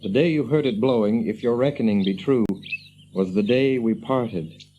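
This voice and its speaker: A man voices a small, weary fantasy quester, earnest and formal. The tone is mellow at a middling pitch. It is a radio play with dull sound and birdsong behind. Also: moderate pace, a little hiss or hum, close to an everyday voice. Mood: grieving, weary. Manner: earnest, formal, old-fashioned phrasing. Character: Frodo, earnest, weary hobbit on a perilous quest. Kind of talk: radio fantasy drama acting.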